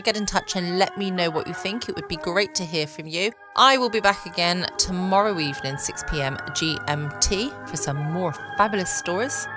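Low-pitched English woman hosting over music. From United Kingdom. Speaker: podcast host. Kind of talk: bedtime podcast sign-off, addressed to listeners. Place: at home.

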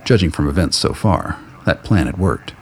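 Male narrator for radio drama, very low register, scripted storytelling, faint noise behind. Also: expressive, moderate pace.

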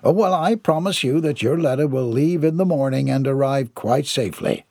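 A man's voice: mid-range voice, expressive, moderate pace, vocal fry, a little mellow, no room sound, clean studio sound. A man voicing a polite, flustered old postmaster who hesitates and stammers in an audiobook.

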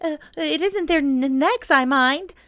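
A woman's voice: high-pitched, a little slow, fairly bright tone, no room sound, voice pushed up. A woman voicing a small, timid male piglet in a children's storybook, stammering anxiously. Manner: nervous stammer.

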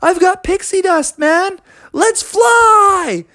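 A woman voicing an excitable, adventurous young male frog in a children's story, whooping and exclaiming eagerly. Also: high voice, moderate pace, pitched above the performer's own voice. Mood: eager, bold.

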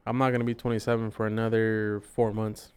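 A man in his twenties, co-hosting a casual podcast, joking loudly and swearing often. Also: fairly low pitch, flat delivery, a little fast, clean tone. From Mexican-American. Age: 20s. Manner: profane, boisterous, joking. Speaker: podcast co-host. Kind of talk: casual drinking-podcast banter.

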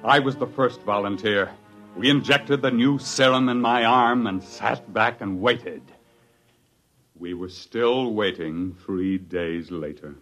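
A man's voice: fairly low pitch, slow, a bit bright. An actor playing a weary, wry research scientist who tells his own story in 1950s radio drama style. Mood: resigned. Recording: 1950s radio broadcast, music behind.

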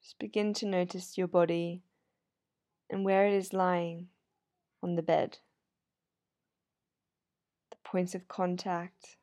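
A woman guiding a sleep meditation in a soothing, unhurried, gently instructive manner. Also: fairly low pitch, flat delivery, dry recording, clean studio sound. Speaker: yoga nidra meditation guide. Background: soft sound healing instrumental music.

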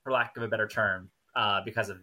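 A man's voice: fairly low pitch, steady tone, quick pace, background noise. A man in a casual, analytical discussion on a TV review podcast. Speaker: podcast co-host.

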